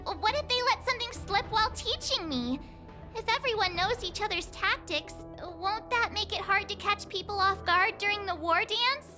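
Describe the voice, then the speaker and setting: A woman's voice: extremely high pitch, lively intonation, moderate pace. A woman voicing a cheerful, chatty, naive teenage girl in a game, with a bubbly, earnest delivery and a girlish voice. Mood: polite, worried. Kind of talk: game cutscene voice acting. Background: music.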